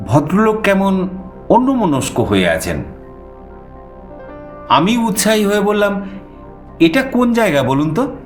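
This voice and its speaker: Guy reading a thriller story, fairly high voice, theatrical pauses, music underneath. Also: big pitch swings. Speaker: story narrator. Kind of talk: dramatic first-person suspense narration.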